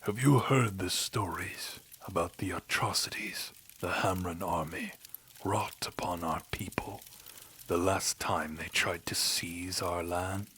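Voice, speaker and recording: A man voicing an aging, weary, solemn king in an audio drama, husky and breathy, dropping to a half-whisper as phrases end. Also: low-pitched, bright, forceful tone, exaggerated voice. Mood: grave. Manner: slow, grave, deliberate. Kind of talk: audio drama character acting.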